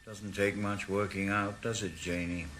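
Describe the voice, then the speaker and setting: A man's voice: on the low side, level tone, unhurried, a bit bright. A man playing a retired ex-detective with a Welsh accent, speaking with a dry, wry sarcasm. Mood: musing. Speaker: lead actor. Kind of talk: 1980s radio drama acting. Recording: noisy location recording.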